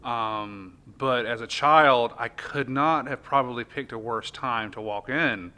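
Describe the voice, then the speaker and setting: A man with a bright, forward voice at a medium pitch. He talks like a podcast host in relaxed conversation, offering opinions freely. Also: measured pace, clear, resonant tone. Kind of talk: casual chat about films.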